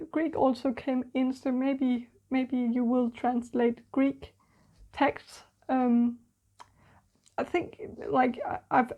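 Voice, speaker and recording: A woman's voice: high-pitched, highs cut off. A woman giving a channeled tarot reading, for whom English is a third language, speaking hesitantly with many fillers and restarts. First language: not English. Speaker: tarot reader.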